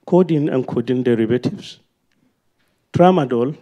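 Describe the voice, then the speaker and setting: A man's voice: medium pitch, a little slow, mellow. A man with a Nigerian accent putting a question at a public panel event, speaking in an emphatic, speech-like manner. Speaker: audience member asking a question.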